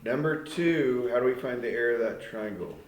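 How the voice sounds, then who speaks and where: A man's voice: mid-range voice, lively intonation, a little fast. A man teaching a geometry lesson to a class, explaining step by step and asking students questions. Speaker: math teacher. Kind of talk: classroom instruction. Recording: echoey classroom with faint noise.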